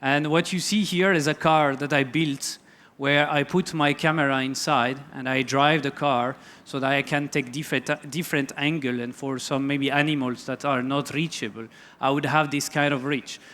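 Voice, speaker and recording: A man's voice: mid-range voice, moderate pace. French-accented man giving a talk. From France. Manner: relaxed, loose, with many fillers and restarts. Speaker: photography lecturer. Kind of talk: conference talk.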